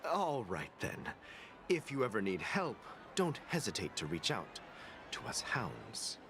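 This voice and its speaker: A man's voice: fairly low pitch, big pitch swings, unhurried. A man voicing a polite, dutiful security guard who speaks courteously, in a game with background noise. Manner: courteous, reassuring.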